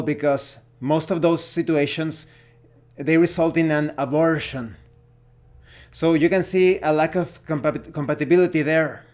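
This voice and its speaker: Man, somewhat high-pitched, opinionated hobby explainer, deliberate delivery, pauses between short phrases. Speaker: video host on tabletop role-playing games. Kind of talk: opinionated explainer talk to camera.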